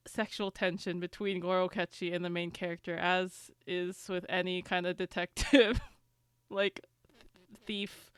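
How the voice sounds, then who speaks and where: Woman just chatting, super clear smooth voice, dry close sound, rambly and slangy. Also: on the low side, dry recording. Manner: rambling, slangy explaining with frequent swearing. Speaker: podcast panelist. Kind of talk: casual chat.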